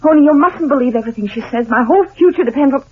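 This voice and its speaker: High-voiced, anxious young heiress. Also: female voice, expressive, racing. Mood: anxious pleading. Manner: breathless, hesitant pleading. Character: frightened, pleading daughter of a wealthy old woman. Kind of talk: old-time radio melodrama acting. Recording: noisy, tinny vintage radio recording.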